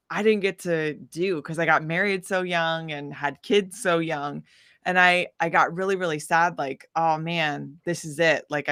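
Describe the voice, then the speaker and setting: A woman musician telling her story casually in an interview, with an animated, informal manner. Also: low voice, smooth, no room sound, studio quality. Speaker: guest musician, singer-songwriter.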